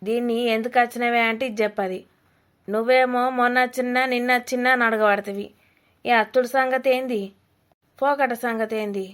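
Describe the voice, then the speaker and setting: A woman's voice: on the high side, steady tone, moderate pace, natural voice. A woman voicing a blunt, scolding old village grandmother with a rustic Telangana accent in a comedy cartoon. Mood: mocking, scolding. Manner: blunt, earthy, mocking, informal.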